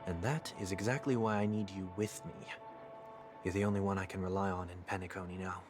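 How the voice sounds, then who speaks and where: A man's voice: deep voice, fairly even intonation, lightly acted. A man voicing a composed, courteous and calculating young fugitive in a video game, speaking formally and persuasively. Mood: calm, earnest. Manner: formal, measured, coolly persuasive. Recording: background music under dialogue.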